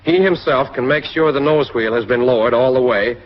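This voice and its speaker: A man's voice: medium pitch, brisk. An American man reads scripted narration for a 1940s military training film, addressing the listener directly in an instructive way. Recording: muffled, husky-sounding 1940s film soundtrack.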